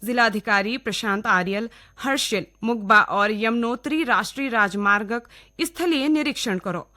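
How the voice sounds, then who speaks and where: A woman's voice: fairly high pitch, normal speed, sharp tone. A woman with a Kumaoni accent reading scripted regional radio news. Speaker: newsreader.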